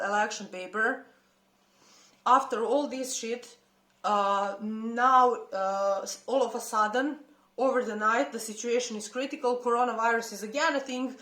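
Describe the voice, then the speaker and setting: A woman with a Serbian accent speaks in a fairly high voice, passionate and outspoken. She pauses often to search for her words. The recording has a noticeable roomy echo. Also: a bit bright. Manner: impassioned, halting with many hesitations. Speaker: protest commentator. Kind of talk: unscripted personal statement.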